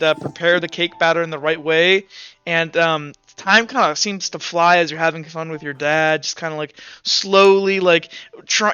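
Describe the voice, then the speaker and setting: Young guy gm rambling on, lots of likes and ums, bright high voice, kinda dull recording. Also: clear, resonant tone. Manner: rambling with many fillers and restarts. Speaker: game master. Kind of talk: narrating a tabletop game. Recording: dull lo-fi sound with faint music.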